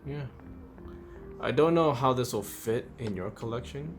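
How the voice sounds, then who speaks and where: A man's voice: medium pitch, animated, unhurried. A man giving a casual, rambling review, thinking aloud in an informal, offhand way. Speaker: host of a toy figure review channel. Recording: music under the voice. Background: background music.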